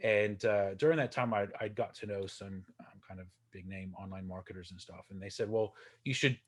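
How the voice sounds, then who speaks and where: A man's voice: fairly low pitch, steady tone, a little fast, slightly rough. A man, a podcast guest and coach talking casually, chatty and self-deprecating with many fillers and restarts. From Texas, USA. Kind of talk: casual interview talk.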